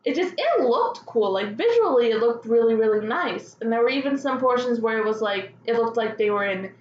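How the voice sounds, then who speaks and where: A woman's voice: a little high, animated, brisk, reverberant, dull audio, slight background noise. A woman hosting casual fan news commentary, speaking as a gushing enthusiast with hesitant, filler-dotted delivery. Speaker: host of a fan news show.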